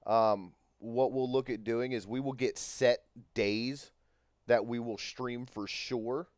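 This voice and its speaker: Casual streamer guy, thirties, smooth dry voice, rambles with lots of um and uh. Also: mid-range voice, steady tone, takes it slowly, darkish tone, no room sound. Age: 30s. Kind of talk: casual livestream chat.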